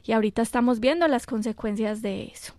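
Colombian woman, immigration lawyer, higher voice, dry close sound, measured explaining. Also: darkish tone, dry recording. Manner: measured, explanatory, many fillers. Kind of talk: radio call-in advice talk.

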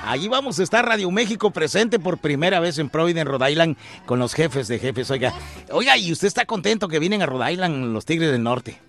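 A man's voice: on the high side, brisk, soft background music. A man hosting a call-in radio giveaway show, joking and hyping up the listeners. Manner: joking, animated, hypes listeners. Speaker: radio host. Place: radio studio.

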